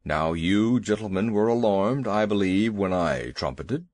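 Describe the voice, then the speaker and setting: A man putting on a great fretful bull elephant: slow and deep, grumbling and pompous, a storybook beast who is easily rattled. The voice rolls up and down. It sounds dry and close. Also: expressive, darkish tone, dry recording. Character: huge timid male elephant, pompous and fussy.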